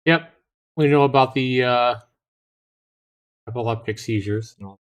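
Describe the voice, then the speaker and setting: A man's voice: medium pitch, measured pace. A man hosting a live stream, chatting casually and reading on-screen text aloud as he comments on a game. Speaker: live streamer, show host. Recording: music under the voice. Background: music.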